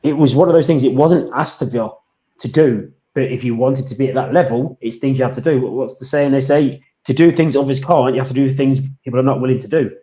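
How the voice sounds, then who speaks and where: A man co-hosting a casual football podcast, speaking in a thoughtful, measured way. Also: mid-range voice, warm tone. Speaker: podcast co-host. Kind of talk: casual chat. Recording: dull, roomy podcast audio.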